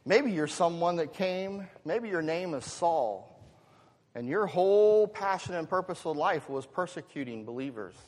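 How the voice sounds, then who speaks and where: An American man delivers a sermon in a fairly high-pitched voice, with long reverb from a large hall. He poses rhetorical questions to the congregation as a pastor would. His delivery shifts between chatty asides and emphatic swells. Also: animated, measured pace, darkish tone.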